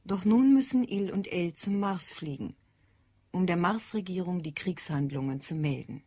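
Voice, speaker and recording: A woman's voice: lowish voice, moderate pace, mellow, slightly rough, no echo. A woman reading scripted narration that links the scenes of an audio drama.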